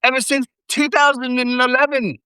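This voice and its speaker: A male caller puts on a British accent in a high, smooth voice. He stammers and corrects himself quickly. The sound is dry. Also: flat delivery, pushed and bright, dry recording. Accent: put-on British.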